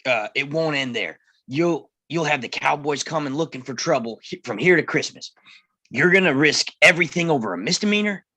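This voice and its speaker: Man reading a weary Old West lawman, bright-edged voice. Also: on the high side, lively intonation, brisk, exaggerated voice. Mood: resigned. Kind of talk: live screenplay table read.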